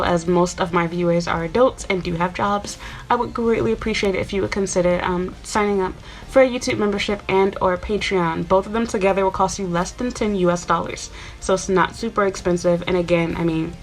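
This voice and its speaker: A woman in her twenties from Chicago, talking casually to her viewers in a rambling, chatty way with lots of fillers. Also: medium pitch. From Chicago, USA. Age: 20s. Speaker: YouTuber. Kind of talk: casual talk to camera.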